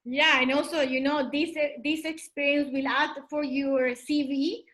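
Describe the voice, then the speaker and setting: A woman's voice: sits high, little pitch movement, unhurried, fairly bright tone. A woman hosting a casual interview, encouraging her guest and recapping answers in a conversational way. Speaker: interviewer, host.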